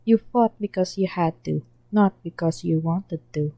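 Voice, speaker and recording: A woman's voice: lowish voice, unhurried, creaky, dark tone, dry recording. A woman reading a podfic, voicing a smug adult male hitman who drawls at his former student.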